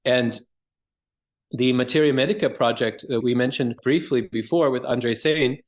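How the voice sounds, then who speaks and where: A man's voice: medium pitch, moderate pace. A man speaking as an interview guest, explaining things conversationally and earnestly as a practitioner.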